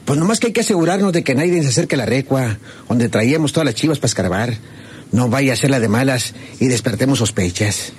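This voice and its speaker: A man's voice: mid-range voice, animated, fast, husky. A man playing a suspicious, grumbling treasure-hunting outlaw with a rural Mexican accent in a radio serial. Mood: wary. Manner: folksy, colourful, grumbling. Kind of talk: old Spanish-language radio serial acting. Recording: noisy old radio drama recording.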